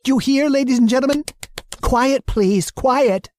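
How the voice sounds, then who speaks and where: A man's voice: very high-pitched, unhurried, darkish tone, no room sound. An actor playing a fussy, meek, henpecked husband in a radio play, stammering and prim in his deference. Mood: flustered, pleading. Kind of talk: old-time radio drama acting.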